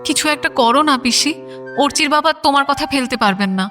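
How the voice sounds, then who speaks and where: A woman's voice: high-pitched, a little fast, bright, forceful tone, soft background music. A woman acting a glum, pouting young niece in a radio drama. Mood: pleading, surprised.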